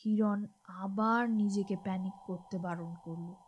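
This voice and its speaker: A woman's voice: medium pitch, fairly even intonation, unhurried, dull audio, a little hiss or hum. A woman narrating a scripted horror story in a dramatic storytelling style. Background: music behind the voice.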